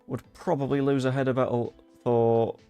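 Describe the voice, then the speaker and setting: A man's voice: medium pitch, level tone, moderate pace, warm tone, smooth. A young man chatting casually as he plays a football management game, talking to his viewers and cursing under his breath. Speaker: gaming YouTuber. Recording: dry close mic with game music behind.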